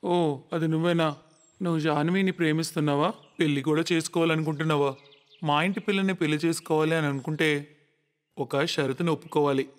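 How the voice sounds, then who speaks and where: Male actor for a stern, dramatic cartoon family man, higher register, emphatic delivery, reverberant hall sound. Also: steady tone, brisk, close to an everyday voice. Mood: stern, demanding. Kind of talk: dramatic cartoon story dialogue. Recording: long reverberant echo.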